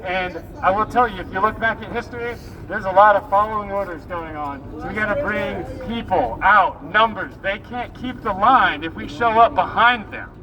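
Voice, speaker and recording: A man's voice: sits high, moderate pace, hardly any pauses. A man giving an urgent, off-the-cuff speech to a protest crowd, exhorting them. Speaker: protest speaker. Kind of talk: impromptu rally speech to a crowd. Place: outdoors on a city street. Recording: distant noisy outdoor recording.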